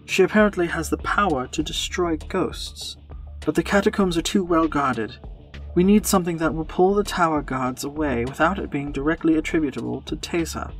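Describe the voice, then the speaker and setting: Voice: medium pitch, normal speed, faint music behind, close to an everyday voice. A performer voicing an anxious, earnest younger man confiding in his lover, in an audio fiction drama. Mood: grave, urgent.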